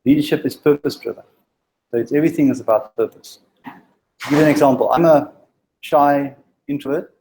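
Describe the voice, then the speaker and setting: A man speaks to a meetup crowd in a mellow, warm tone. His delivery halts and restarts, with a stutter and plenty of ums. He urges his listeners plainly and directly. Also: a little high, slight background noise, low-quality recording. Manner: halting, with frequent ums and restarts; direct, exhorting. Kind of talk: informal presentation to a live group.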